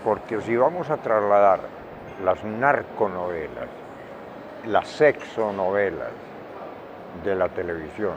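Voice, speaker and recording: An older Colombian man speaks in a low voice at a very slow, deliberate pace. He answers reflectively, stressing points by repeating words. The recording is dull, with clear traffic noise behind him. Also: expressive. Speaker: interviewee, veteran radio director and journalist. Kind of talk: reflective interview talk.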